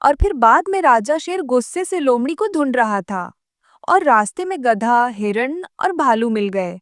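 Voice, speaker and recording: Woman narrator, high voice, very lively intonation, children's story, dry recording. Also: unhurried, dry recording.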